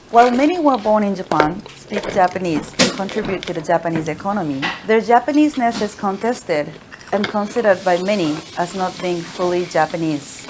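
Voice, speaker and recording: Lady interviewer, middle voice, brisk and polite, lots of hiss behind. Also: mid-range voice. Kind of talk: scripted narration and interview questions. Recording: noisy background.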